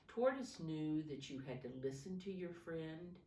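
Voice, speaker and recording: A woman reading a storybook expressively to young children, deliberately, pausing to explain and ask simple questions. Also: deep voice, lively intonation, warm tone. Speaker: preschool teacher reading aloud. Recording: roomy echo, distant microphone.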